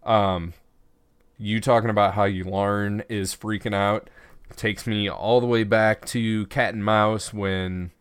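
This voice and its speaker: Smooth-voiced Midwestern podcast host. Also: male voice, on the low side, steady tone, slow, no room sound, clean studio sound. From American Midwest. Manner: laid-back, profane, wordy. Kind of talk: casual review chat.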